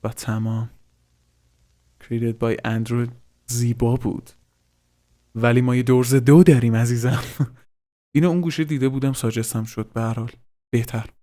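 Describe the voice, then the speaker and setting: A man's voice: medium pitch, fairly even intonation, pauses often, warm tone. A man gives casual reaction commentary as a host, swearing informally and dropping in English slang.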